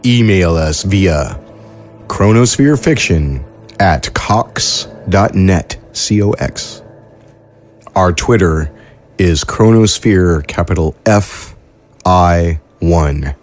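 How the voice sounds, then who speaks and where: A man with a low voice and lively pitch reads the credits and closing announcements at a slow, steady pace. Light music plays behind him. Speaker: podcast producer and announcer. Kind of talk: scripted announcing.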